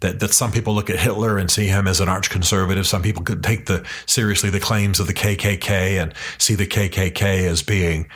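A man hosting a podcast from his office, talking earnestly and with passion, slowing down to stress his key points. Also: low-pitched, fairly even intonation, slightly rough. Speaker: podcast host.

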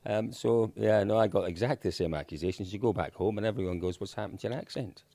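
A man's voice: fairly low pitch, vocal fry, mellow, dry recording. A middle-aged Scottish man from Dundee with a Dundee accent, hosting a casual rally podcast and steering the chat. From Scotland. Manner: chatty, leads the conversation, quick to agree. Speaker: podcast host.

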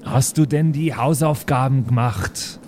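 A man playing an exasperated schoolteacher, halting and pleading, in an improvised radio play. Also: medium pitch, darkish tone, slight background noise, pitched below the performer's own voice, lightly acted. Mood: patient, strained. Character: exasperated male schoolteacher struggling with unruly class.

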